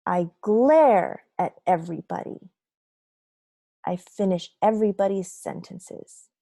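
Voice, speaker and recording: A woman voicing a grumpy, remorseful sunflower seed telling his own story in a children's picture book read-aloud, in a dramatic, deliberate, confessional manner. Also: fairly low pitch, creaky, warm tone, no echo, clean studio sound, heavily acted. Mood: sly confession.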